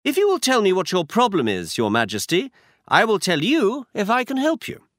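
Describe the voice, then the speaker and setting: A confident male detective, voiced by a man narrating, brisk and instructive, always a step ahead. His lines sit high and leap about in pitch. The sound is dry and up close. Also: huge pitch swings, a bit bright, no echo, full character voice. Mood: assured.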